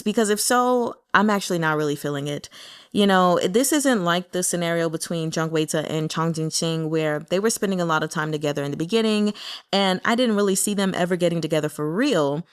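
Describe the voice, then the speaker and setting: A woman's voice: on the low side, clean tone. A woman chatting casually and candidly about a novel as a book reviewer, in a conversational, mildly exasperated way. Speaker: book reader and reviewer with an audience. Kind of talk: casual chat commentary on a novel.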